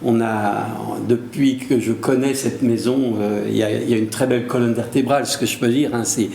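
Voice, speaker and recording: An older man with a medium-pitched voice talks casually as a studio guest. He is chatty and repeats words for emphasis, in a roomy space with faint noise. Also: steady tone, brisk, vocal fry, darkish tone. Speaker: community centre president, studio guest.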